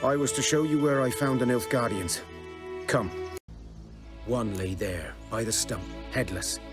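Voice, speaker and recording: An actor playing a weary outcast village hunter in a video game, rustic, plainspoken and guarded. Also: male voice, medium pitch, fairly even intonation, unhurried, music in the background, natural voice. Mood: sombre, guarded.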